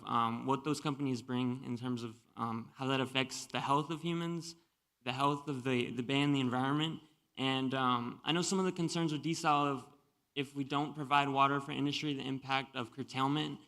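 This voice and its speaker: Gravelly, bright-voiced man advocating. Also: mid-range voice. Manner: earnest advocate, many ums, long run-on points. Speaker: resident giving public comment. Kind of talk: public comment at a community meeting.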